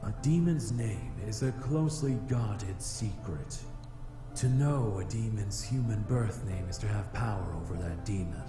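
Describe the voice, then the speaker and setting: An actor playing an aloof, proud flame demon in a visual novel game, speaking formally and easily flustered. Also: male voice, on the low side, close to an everyday voice. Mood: solemn, guarded. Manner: formal, measured, haughty wording. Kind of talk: scripted visual novel character acting. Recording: echoey voice over background music.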